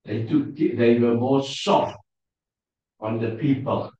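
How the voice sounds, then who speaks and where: Afrikaans-accented male preacher, unhurried and hesitant, fishing for English words; distant mic, big echo. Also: medium pitch, steady tone, warm tone. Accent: South African Afrikaans. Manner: halting, searching for English words with many fillers. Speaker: preacher teaching a Bible class. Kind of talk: Bible class teaching. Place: church hall. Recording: distant, roomy, dull lo-fi sound.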